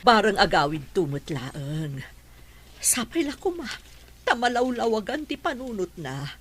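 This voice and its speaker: A woman's voice: medium pitch, big pitch swings, measured pace, fairly bright tone, a little hiss or hum. A woman acting a loving, anxious middle-aged mother in an Ilocano radio drama. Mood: worried.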